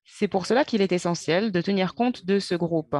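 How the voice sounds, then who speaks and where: A woman giving a simultaneous interpretation of conference speeches, halting, with pauses and self-corrections. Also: lowish voice, a little mellow, breathy edge, dry recording.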